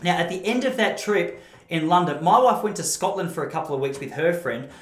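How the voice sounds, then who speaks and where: Echoey, higher-voiced Australian man telling stories. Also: animated, fairly quick. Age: adult, married. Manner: rambling, self-interrupting, acts out dialogue. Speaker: podcast host. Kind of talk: comic storytelling monologue to camera. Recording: roomy, echoing room sound.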